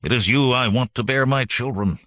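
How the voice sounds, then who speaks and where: A man's voice: medium pitch, a little fast, a bit bright, no room sound, clean studio sound, voice pushed up, lightly acted. A man voicing a blunt, ardent young warrior from the hills in an audiobook, with grave, formal speech. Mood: fervent, aggrieved.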